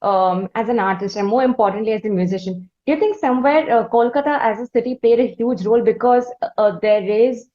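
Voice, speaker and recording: A woman hosting an interview, phrasing long questions in a measured, careful, conversational way. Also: fairly high pitch, mellow, dull audio. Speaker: interviewer, podcast host.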